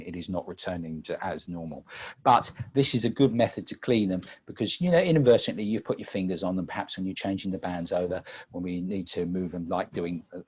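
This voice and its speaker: A British man giving an unscripted technical demonstration as a webinar presenter, halting often with repeated words and filled pauses. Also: low voice, darkish tone, slightly rough. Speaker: webinar presenter and audio engineer.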